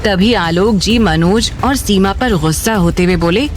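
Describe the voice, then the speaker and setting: A woman's voice: mid-range voice, a little slow. A woman narrating a scripted, dramatic story as the storyteller of an audio series. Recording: music bed under the voice.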